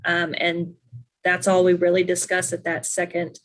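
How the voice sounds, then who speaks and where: A woman with a fairly low voice speaks on a conference call. She lays out procedure in a practical, matter-of-fact and informal way, as a coordinator would. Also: completely flat delivery, moderate pace. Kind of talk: informal procedural explanation in a meeting. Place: conference call over Zoom. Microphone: video call microphone.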